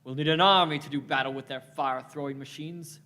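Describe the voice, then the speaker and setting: A man's voice: a little high, fairly even intonation, brisk, pushed and bright. A man playing a stern ancient Egyptian military leader in a radio play, barking declamatory orders. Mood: outraged, resolute. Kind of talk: amateur radio play performed live.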